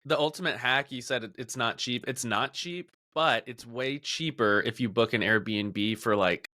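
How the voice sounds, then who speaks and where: A man's voice: medium pitch, moderate pace, sharp tone, dry recording. A man in his thirties chatting casually as a podcast host.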